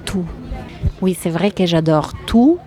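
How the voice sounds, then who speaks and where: A woman from Italy speaking with an Italian accent, answering interview questions in a hesitant, thoughtful way with many pauses and fillers. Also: on the low side, animated, dark tone, soft background music, some chatter behind. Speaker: guest.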